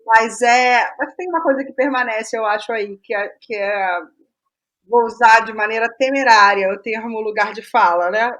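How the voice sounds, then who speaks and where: Higher-pitched Brazilian woman, reflective and halting. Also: a bit bright. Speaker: guest playwright. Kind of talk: reflective conversational interview.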